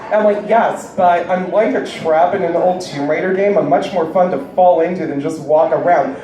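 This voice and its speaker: A woman with a deep voice doing a stand-up comedy set with a wry, deadpan delivery, heard on stage before a live audience. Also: moderate pace. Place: large indoor venue with live audience. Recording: echoey hall recorded from far off, loud crowd. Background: audience cheering and laughing.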